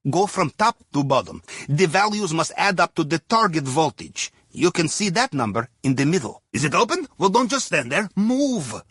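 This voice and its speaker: Raspy, high-pitched Russian captain. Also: male voice, big pitch swings, moderate pace, few pauses, fairly bright tone, no echo, clean studio sound, full character voice. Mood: wry, instructive. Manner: playful, theatrical, drops articles, tags sentences with questioning "yes?" or "huh?". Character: jovial, wry Russian submarine captain guiding a heist crew. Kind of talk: video game mission dialogue over comms.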